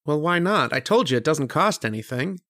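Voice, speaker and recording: Man acting a gravelly, brooding, erudite lodger. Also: on the high side, lively intonation, fairly bright tone, dry recording, studio quality. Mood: earnest, hesitant. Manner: literate, deliberate, introspective, with hesitant starts. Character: lonely, erudite, brooding male mathematician lodger, earnest and uneasy. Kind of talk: old-time radio drama acting with first-person narration.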